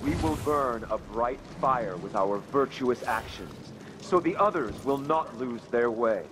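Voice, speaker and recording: A man's voice: mid-range voice, animated, unhurried, noisy background, natural voice. A man playing a zealous, aggressive religious enforcer in a video game, fervent and declamatory. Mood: righteous. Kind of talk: video game combat acting.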